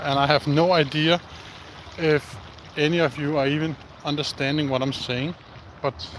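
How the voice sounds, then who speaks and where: Slow, halting Danish man outdoors. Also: a little high, fairly bright tone, slight background noise, rough recording. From Denmark. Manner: slow, halting, many pauses and fillers. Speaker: live-streaming vlogger. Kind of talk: casual live-stream chat while walking outdoors. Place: outdoors in the countryside.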